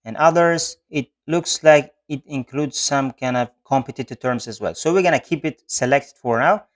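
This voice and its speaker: A man presenting an online software tutorial, explaining each step methodically and repeating key points. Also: mid-range voice, moderate pace. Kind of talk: step-by-step screen-share tutorial narration. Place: voice-over on a screen recording.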